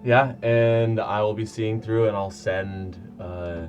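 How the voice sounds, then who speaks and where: A man's voice: fairly low pitch, steady tone, slow, a little mellow, dull audio, faint music behind. A man playing in a tabletop role-playing game, joking and feeling his way through descriptions. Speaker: player. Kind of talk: casual tabletop play.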